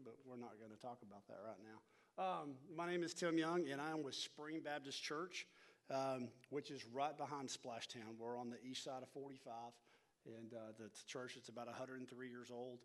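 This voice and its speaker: A man's voice: mid-range voice, a little mellow. A middle-aged man with a North Texas accent, a church education pastor leading a workshop in a folksy, self-deprecating way, full of restarts and fillers. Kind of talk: casual talk to a church group, opening with prayer.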